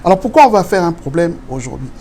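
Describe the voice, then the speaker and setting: A man's voice: a little high, very animated, fast, darkish tone. Man explaining law, French and Lingala mixed. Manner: switches between French and Lingala, explains step by step, asks rhetorical questions. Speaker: political guest.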